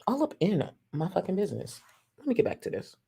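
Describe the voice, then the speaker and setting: A woman's voice: on the low side, very animated, quick pace, vocal fry, dark tone, slightly rough. A woman streaming live, reacting to a video and reading out super chats with sassy, profane, mocking commentary and frequent laughter. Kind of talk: casual livestream commentary.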